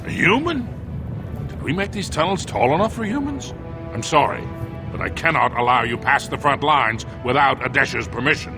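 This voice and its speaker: Game dwarf guard, male actor: dutiful, cautioning, medium pitch, clear background music. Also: lively intonation, moderate pace, lightly acted. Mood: wary, cautioning. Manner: formal, cautioning. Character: dutiful dwarven tunnel guard, wary and stern. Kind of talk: video game character dialogue.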